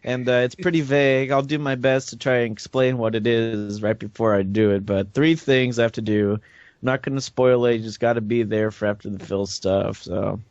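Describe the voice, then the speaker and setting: A man's voice: medium pitch, fairly even intonation, moderate pace, darkish tone, dry recording. A man hosting a casual gaming podcast, hesitant and teasing, with lots of fillers. The mic sounds dry and close, with a dull, lo-fi tone. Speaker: podcast host.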